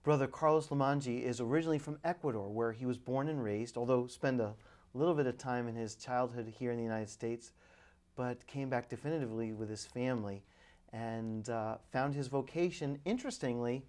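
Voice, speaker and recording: A middle-aged man, a priest, hosting a public access television interview, speaking in measured introductions with occasional fillers. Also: mid-range voice, a little mellow.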